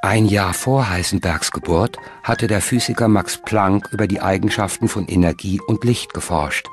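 A man with a fairly low voice narrates a documentary. He reads his script in a measured, articulate way, over soft music.

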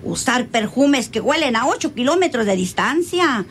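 High-voiced saucy country moll. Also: female voice, sing-song, moderate pace. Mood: dreamy, vain. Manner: rustic, colloquial, saucy. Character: sassy rustic bandit's woman, vain and dreamy. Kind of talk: radio serial acting. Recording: noisy old radio serial recording.

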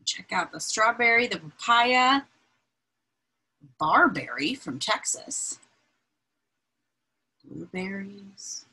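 A woman reading a children's picture book aloud in an expressive, deliberate, breathy way, adding informal asides as she points things out. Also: medium pitch, poor audio. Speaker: read-aloud reader. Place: indoors, small room with bookshelves.

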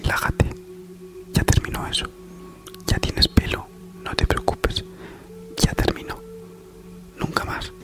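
Casting: man, Spain accent, breathy whispered ASMR parody, soothing and teasing. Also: over music. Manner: slow soothing delivery with mock-reassuring teasing. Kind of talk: whispered ASMR comedy monologue. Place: small living room at home, on a sofa.

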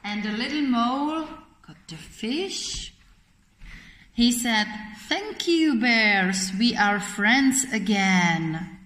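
A woman storyteller with a high voice speaks very slowly and simply, as if to small children. She guides listeners through a picture book with expressive phrasing and little questions. The room is echoey. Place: indoor children's classroom. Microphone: camera a short distance away. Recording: roomy echo, faint background noise.

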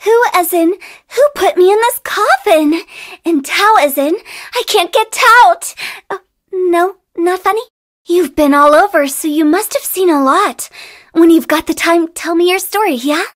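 A very high, girlish video game voice, playing a mischievous young funeral parlor director. She takes her time over the words with a slow, theatrical lilt. The delivery is teasing, eccentric and sing-song. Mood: cheerful, playful. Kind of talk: video game character voice lines.

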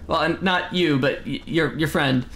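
A young man from the United States chatting casually with his stream chat while gaming at home, reading messages aloud and breaking into sudden loud exclamations. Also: fairly high pitch, moderate pace, slight background noise. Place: home room, seated in desk chair.

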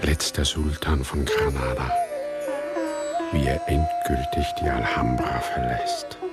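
An actor playing a haunted, remorseful man in a radio drama monologue, speaking slowly, hushed and solemn. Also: a bass voice. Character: haunted, remorseful man recalling his mother. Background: loud sitar music.

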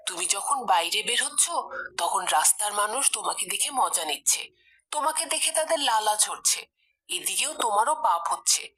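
A woman's voice: medium pitch, expressive, normal speed, pushed and bright, a little hiss or hum. A woman voicing an elderly, pious grandmother in a cartoon, earnest and preachy. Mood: admonishing. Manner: preachy, instructive. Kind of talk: animated cartoon dialogue.

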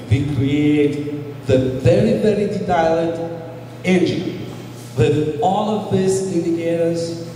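A man from Russia with a Russian accent, presenting in slow, halting English as a game producer, speaking into a handheld mic in a large hall. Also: fairly high pitch, dark tone, slight background noise. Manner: halting non-native English, slow and deliberate. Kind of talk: presentation to an audience. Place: large hall with flag backdrop. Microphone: handheld mic, recorded by a far camera. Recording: echoey hall recorded from far off.

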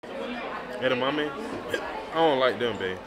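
A young man in his early twenties talks to his camera from a restaurant booth, laid-back and full of slang. His voice sits in an ordinary middle range. A crowd chatters loudly all around him. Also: medium pitch, expressive, takes it slowly. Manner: loose, slangy, joking, talks straight to viewers. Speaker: vlogger. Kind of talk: casual vlog chat to camera. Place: dim busy restaurant booth. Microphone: handheld camera at arm's length.

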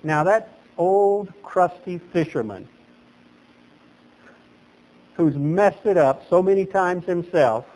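A middle-aged man preaching a sermon as pastor at a lectern in a small chapel, animated and gesturing, his voice leaping up for emphasis. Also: high-pitched, takes it slowly, pauses often, poor audio.

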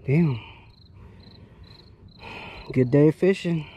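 A man fishing on a rocky riverbank, talking to an action camera on his chest, switching between excited whoops and quiet murmured asides, a little out of breath. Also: fairly high pitch, drawn-out words, slight background noise. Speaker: fishing vlogger. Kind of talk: excited outdoor fishing commentary. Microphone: chest-mounted action camera.

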